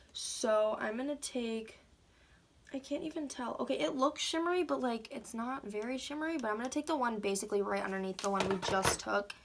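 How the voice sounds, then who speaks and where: A woman's voice: medium pitch, a bit bright. Congested young-sounding woman chatting through a makeup tutorial. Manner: chatty, filler-heavy, says "like" often. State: congested from a cold. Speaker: beauty vlogger. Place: at home, indoors. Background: faint heater hum.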